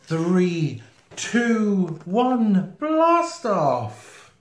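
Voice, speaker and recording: Slow, expressive man reading a picture book. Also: high-pitched. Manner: expressive, slow, with playful sound effects and asides. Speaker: storytime reader. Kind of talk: children's picture-book read-aloud. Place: small indoor room, seen close to the camera. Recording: roomy, echoey home video sound.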